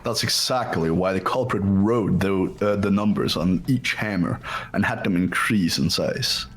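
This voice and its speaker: A man playing a serious, exacting student in an amateur game dub, speaking deliberately. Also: low-pitched, faint music behind. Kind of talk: scripted amateur visual novel voice acting.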